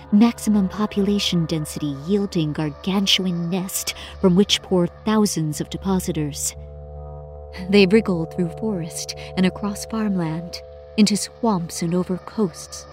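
A woman narrating sci-fi horror in the first person as a lovelorn, obsessive scientist, with a measured, intense delivery. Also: fairly low pitch, a little mellow. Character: lovelorn, obsessive female scientist devoted to a monstrous lover. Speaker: storyteller. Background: musical score.

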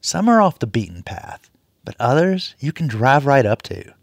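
A man's voice: mid-range voice, sing-song, normal speed, vocal fry, no room sound, clean studio sound. A man hosting a public radio program, moving between interview questions and scripted narration. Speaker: radio host.

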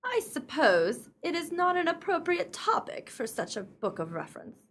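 A woman's voice: extremely high pitch, sing-song, moderate pace, a bit bright, a little hiss or hum, exaggerated voice. A woman acting a disapproving, easily shocked society lady in a radio play, stiff and formal. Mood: fussy.

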